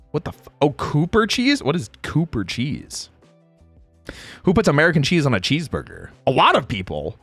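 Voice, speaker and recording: A man's voice: on the high side, a bit bright, dry recording. A man in a playful, casual livestream chat, reading out viewer comments and replying in slangy speech. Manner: playful, reads chat aloud and replies.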